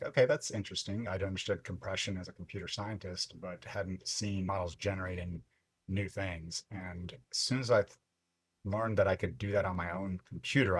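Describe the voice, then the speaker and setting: A man in his fifties, originally from Lebanon, telling his story as a podcast guest in a reflective, unhurried way. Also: low voice, breathy edge. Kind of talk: casual interview chat.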